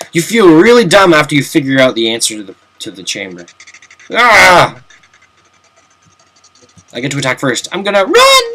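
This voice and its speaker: A man's voice: medium pitch, pushed and bright, husky, low-quality recording. Chatty young man narrating gameplay. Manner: chatty, self-mocking, jokey asides. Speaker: let's play commentator. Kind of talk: casual gameplay commentary.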